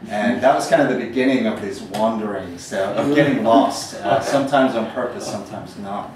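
A man's voice: on the low side, normal speed, a crowd in the background. A man in his thirties from Northern Ireland with an American accent, telling travel stories in a rambling, um-filled lecture with self-deprecating humor. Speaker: poet giving a talk. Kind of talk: casual storytelling lecture. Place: small gallery room, indoors. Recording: echoey room from far off, faint noise.

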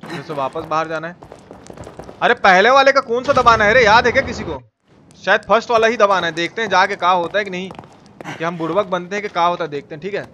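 A man's voice: high-pitched, quick pace, bright, forceful tone, a little hiss or hum. A man with a Bihari accent giving casual, slangy gameplay commentary as a live streamer, cursing and repeating himself as he chats with viewers. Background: game music.

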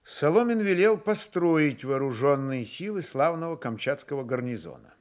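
An actor narrating a dramatised historical novel for radio, measured and wry, with deadpan irony. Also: male voice, a little high, close to an everyday voice. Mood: deadpan narration.

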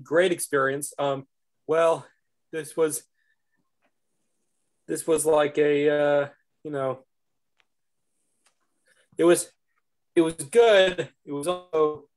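A young man, an amateur actor, answering haltingly and briefly on a video call. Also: a little high, fairly even intonation. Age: young adult. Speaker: amateur actor being interviewed. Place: indoor room on a video call. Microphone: computer video call.

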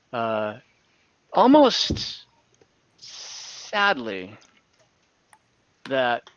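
A man's voice: mid-range voice, fairly bright tone, clean tone, no echo. A man playing a rueful older elf, a retired adventurer, who speaks in a hesitant, earnest way in a tabletop game. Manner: hesitant, halting, earnest storytelling. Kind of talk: in-character tabletop roleplay. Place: indoors on a group video call. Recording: dull, lo-fi online call audio.

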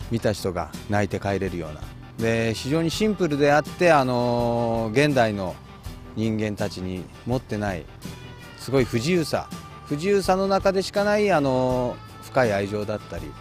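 A man with a mid-range voice talks informally in an outdoor interview. His unscripted answers come haltingly, with faint music in the background. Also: medium pitch, sing-song. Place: outdoors in a park.

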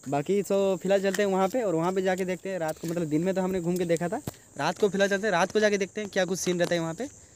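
A man's voice: sits high, steady tone, slight background noise. A young man talking casually to his viewers, filming himself outdoors at night with a phone held at arm's length. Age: young adult. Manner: quick, chatty, addressing viewers directly. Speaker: vlogger. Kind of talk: casual vlog narration.

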